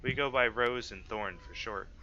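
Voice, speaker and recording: A man's voice: mid-range voice, moderate pace, dull audio, crowd noise, voice pushed up, lightly acted. Man playing a hesitant, polite little girl. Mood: pleading. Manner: hesitant, stammering. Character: polite, timid nine-year-old girl asking for help.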